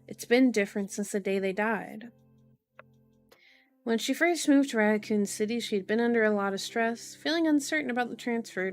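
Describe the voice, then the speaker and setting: A woman reading a novel aloud in an ASMR style, with informal, giggly asides, as a streamer. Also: medium pitch. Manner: giggly, self-interrupting, informal.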